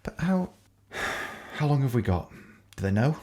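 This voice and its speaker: A man's voice: mid-range voice, very animated, moderate pace, mellow, slight background noise, lightly acted. An actor playing a panicked, self-loathing young man who uses drugs, stammering and hesitant, in a naturalistic audio drama. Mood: frightened, panicked.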